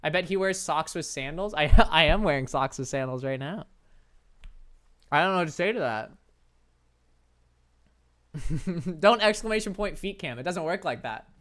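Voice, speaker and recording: A young man with a light, high-pitched voice, chatting casually and playfully with his viewers while he plays a game, laughing often and joking at his own expense. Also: expressive, normal speed, long pauses, fairly bright tone. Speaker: streamer. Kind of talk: casual chat reading viewer messages while gaming.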